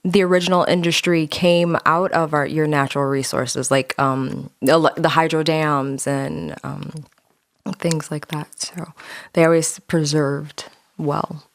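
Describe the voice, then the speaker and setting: A young woman from the United States talks in a relaxed podcast conversation. Her voice sits low for a woman and sounds dry and close, and she sometimes trails off into soft, half-whispered asides. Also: a little slow, gravelly, no echo. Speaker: podcast guest. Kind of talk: casual interview conversation.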